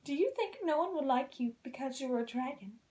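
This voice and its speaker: A woman voicing a kind, caring young princess in a children's storybook, gentle, earnest and expressive. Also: sits high, a little fast. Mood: kind, encouraging.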